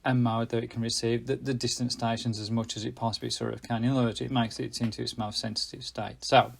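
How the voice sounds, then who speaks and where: A man's voice: medium pitch, little pitch movement, fast, creaky. A man presenting a casual hands-on gadget review in a rambling way, often correcting himself and getting slightly tongue-tied.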